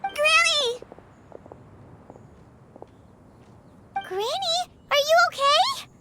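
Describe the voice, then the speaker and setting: A woman's voice: very high voice, expressive, takes it slowly, very noisy, full character voice. A woman voicing a devoted, anxious young grandchild in a game, with an earnest, caring manner. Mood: worried. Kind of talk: game voice acting.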